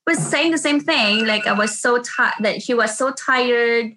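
A woman's voice: on the high side, unhurried, bright, forceful tone. A middle-aged woman with a Filipino accent, a nurse telling casual stories in an interview, chatty and laughing often. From Philippines. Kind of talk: casual storytelling.

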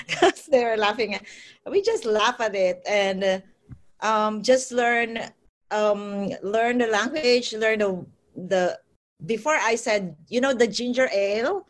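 A woman's voice: fairly high pitch, slow. A woman with a Filipino accent, a nurse speaking hesitantly in a panel discussion and using many fillers. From Philippines.

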